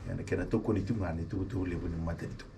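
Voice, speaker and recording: Male radio host, very low voice, distant mic, noisy. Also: fairly even intonation, rapid-fire. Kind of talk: radio talk show hosting. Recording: distant mic with clear noise.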